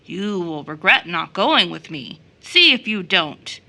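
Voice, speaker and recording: A woman's voice: on the low side, big pitch swings, slow pace, sharp tone, voice pushed down, exaggerated voice. A woman storyteller voicing a pompous, commanding, rich old man who tempts children in a fairy tale. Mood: huffy.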